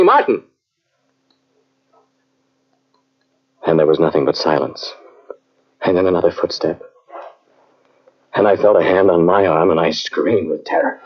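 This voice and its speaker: An actor playing a haunted scientist in an old-time radio drama, telling of a terrifying ordeal in a dramatic, halting, brooding way. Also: male voice, sits low, slightly rough, exaggerated voice. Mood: alarmed. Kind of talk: old-time radio drama acting, first-person narration. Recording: dull old cassette radio recording, music behind.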